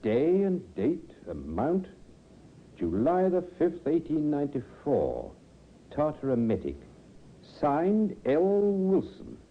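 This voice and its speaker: Casting: chatty, hesitant chemist in vintage radio drama, raspy husky tone, hugely varied intonation. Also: male voice, a little high, huge pitch swings, takes it slowly, full character voice. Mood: pleased, certain. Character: obliging male chemist, ponderous, proud of his memory. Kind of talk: 1950s radio drama acting. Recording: dull vintage radio recording.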